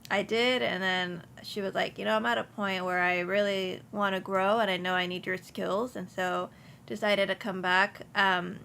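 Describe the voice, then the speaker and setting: A woman's voice: medium pitch, little pitch movement, moderate pace, vocal fry, sharp tone, a little hiss or hum. A young woman from Houston, speaking casually as an interview guest, with many ums and restarts. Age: young adult. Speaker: insurance agency principal, guest.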